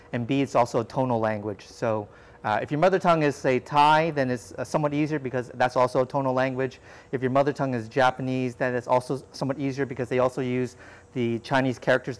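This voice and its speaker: Man, Cantonese native speaker, mid-pitched, measured explainer as interview guest. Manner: measured, explanatory, frequent "uh" fillers. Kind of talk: conversational interview answers.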